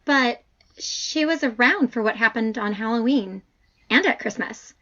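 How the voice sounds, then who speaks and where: A woman's voice: on the high side, unhurried, fairly bright tone, lo-fi sound. A woman playing a skeptical, plain-spoken professor in an audio drama, casual and full of questions. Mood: skeptical, puzzled.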